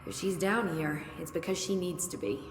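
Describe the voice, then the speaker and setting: An actress playing a brisk, curious research scientist with dry wit in a video game, thinking aloud analytically. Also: female voice, fairly low pitch, natural voice. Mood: dry, frank. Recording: echoey hall with faint noise.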